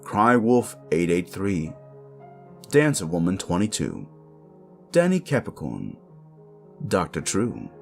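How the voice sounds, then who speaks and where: A man's voice: deep voice, creaky, a little mellow. A man speaking casually to his listeners as the creator and host of an audio channel. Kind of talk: casual outro addressed to listeners.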